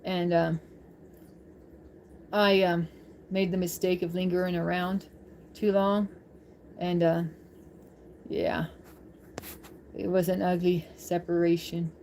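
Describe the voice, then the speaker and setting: A woman's voice: lowish voice, flat delivery, slow pace, pauses often, darkish tone, slight background noise. A woman hosting a livestream, chatting casually with viewers in an informal, rambling, giggly way.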